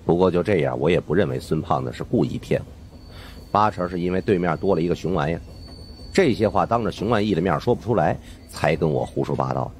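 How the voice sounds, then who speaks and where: A man narrating a suspenseful audiobook story in an expressive, dramatic first-person style. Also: low voice, quick pace, a little hiss or hum. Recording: faint music behind the voice.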